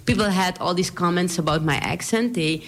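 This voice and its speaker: A woman's voice: lowish voice, a little fast. A woman with a Surinamese accent, a politician telling her story in an interview, chatty and laughing often. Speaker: politician guest.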